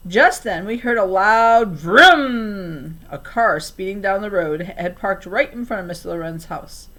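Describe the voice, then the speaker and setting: A woman reading a children's novel aloud in an expressive storytelling style. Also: medium pitch, measured pace.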